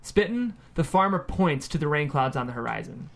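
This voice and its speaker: A man's voice: on the high side, lively intonation, normal speed, vocal fry, a bit bright. A man reading scripted stage directions as the narrator of a screenplay table read.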